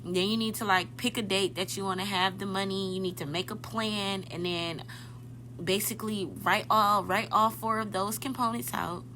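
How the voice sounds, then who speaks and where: A woman's voice: medium pitch, fairly even intonation, moderate pace, bright, forceful tone, a little hiss or hum, rough recording. A woman in her twenties hosting a podcast, chatting casually and directly to her listeners, often correcting herself mid-thought. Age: 20s. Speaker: podcast host. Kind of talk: casual motivational podcast talk.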